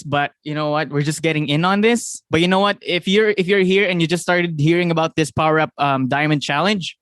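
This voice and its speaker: A young man with a Filipino accent giving an excited, fast motivational talk to his sales team, often asking "right?" and laughing. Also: on the high side, no echo. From Philippines. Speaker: network marketing team leader.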